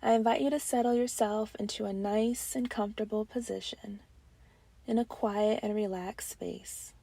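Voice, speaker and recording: A woman leading a guided breathing meditation, gentle and soothing, with soft breathy phrases that often trail into a near-whisper and long pauses. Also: medium pitch, fairly even intonation, dry recording.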